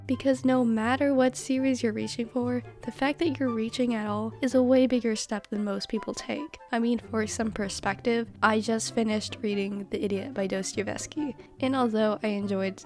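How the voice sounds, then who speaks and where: A woman's voice: on the high side, a little mellow. A teenage girl with a stuffy nose, giving scripted commentary in a chatty, self-mocking, emphatic way. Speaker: youtuber. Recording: music playing behind.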